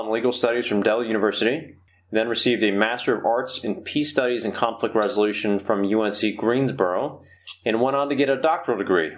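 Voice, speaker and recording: Orderly American male podcast host, dull audio. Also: mid-range voice. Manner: polite, orderly, explanatory. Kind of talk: interview hosting, prepared introduction.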